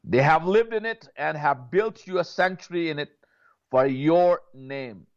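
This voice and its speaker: Earnest Pakistan-born pastor, dull lo-fi audio. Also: male voice, on the high side, unhurried. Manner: earnest, repeats words, many "you know"s. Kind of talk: impassioned prayer appeal.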